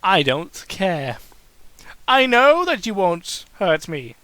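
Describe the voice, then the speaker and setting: A man's voice: high-pitched, sing-song, slow pace, pushed and bright, slight background noise, full character voice. A man playing an earnest, nervous suitor in a fan fiction story, with a hesitant manner. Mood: determined. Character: earnest, nervous suitor courting a dangerous pony.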